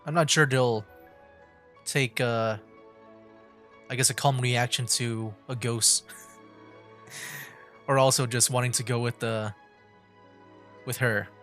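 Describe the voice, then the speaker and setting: A man with a medium-pitched voice streams a game, musing out loud in a casual, halting way. Faint game music plays behind him. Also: steady tone. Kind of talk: casual livestream commentary.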